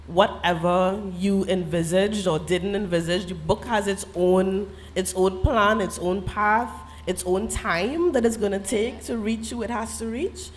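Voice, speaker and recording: A Trinidadian woman speaks as a novelist on a literary festival panel. She talks earnestly and reflectively, laughs easily and slips into creole phrasing. Her voice sits in an ordinary middle pitch. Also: measured pace, hardly any pauses, slight background noise.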